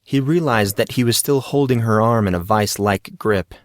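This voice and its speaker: A man narrating scripted fiction as an audiobook, in a measured, dramatic storytelling manner. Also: lowish voice, no room sound, studio quality.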